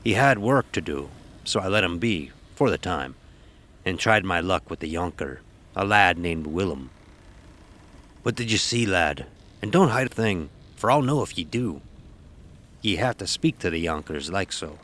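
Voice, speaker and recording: Man playing a low-voiced stern ship's officer. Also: lively intonation, moderate pace, fairly bright tone. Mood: stern commanding. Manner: commanding, archaic nautical speech. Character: stern, gruff ship's officer recounting a sea tale. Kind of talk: first-person dramatic storytelling, audio horror.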